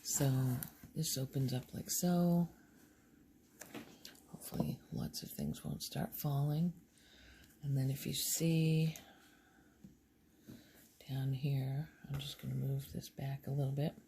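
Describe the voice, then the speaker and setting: A woman hosting a soft-spoken ASMR video, talking casually in a gentle, hushed and unhurried manner. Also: rumbling low, fairly even intonation, a little hiss or hum. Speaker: host of a relaxation video channel.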